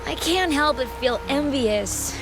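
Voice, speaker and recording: A woman's voice: high voice, animated, unhurried. A woman voicing an earnest, starstruck travel guide writer in a video game, with an eager, gushing manner. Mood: admiring, wistful. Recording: music behind the voice.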